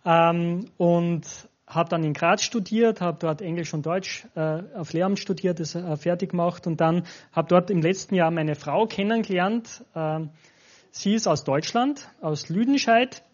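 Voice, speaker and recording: A man's voice: high voice, a little slow, darkish tone. An Austrian man in his forties, a pastor speaking informally and directly to a church congregation as a guest. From Styria, Austria. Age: 40s. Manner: informal, addresses listeners directly. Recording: dull, lo-fi sound.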